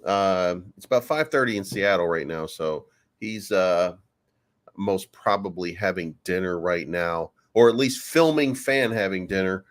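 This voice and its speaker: Guy hosting a crypto stream, jokey and loose, lowish voice. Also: unhurried. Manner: joking, loose and rambling. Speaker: host. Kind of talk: casual livestream chat.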